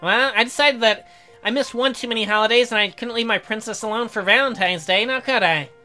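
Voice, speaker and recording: A man's voice: extremely high pitch, brisk, bright, forceful tone, faint music behind, voice pushed up, exaggerated voice. Man putting on a suave, sweet-talking boyfriend. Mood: affectionate. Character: adoring, suave boyfriend in romance story.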